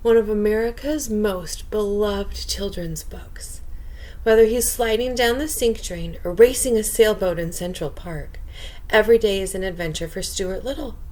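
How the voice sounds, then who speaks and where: A woman reading a children's book aloud as a bedtime storyteller, expressive, with chatty asides to young listeners. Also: medium pitch, moderate pace.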